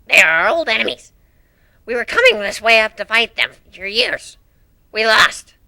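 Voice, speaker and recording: A man's voice: sits high, very animated, moderate pace, bright, forceful tone, pitched above the performer's own voice, heavily acted, poor audio. A man voicing an earnest, anguished dog creature, a former slave turned strategist, in a dramatic story reading. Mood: pained.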